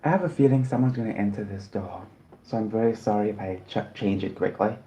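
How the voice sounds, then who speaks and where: A man's voice: lowish voice, brisk, warm tone, a little hiss or hum, poor audio. A man from South Africa chatting casually on a livestream while eating, rambling and often apologizing.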